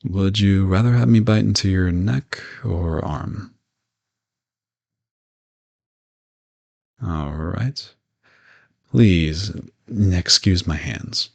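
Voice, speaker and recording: A man playing a gentle, courteous old vampire in an intimate audio roleplay, reassuring and lightly teasing as he speaks to the listener. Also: low voice, takes it slowly, vocal fry, lo-fi sound, exaggerated voice. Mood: gentle, soothing. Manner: soft-spoken reassurance, politely formal with teasing asides.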